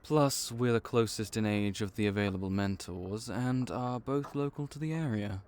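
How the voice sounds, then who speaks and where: A man's voice: on the low side, moderate pace, a little mellow, a little hiss or hum, lightly acted. A man voicing a laid-back vampire clerk and mentor in an audio roleplay, dry and wry as he speaks to the listener. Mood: easygoing.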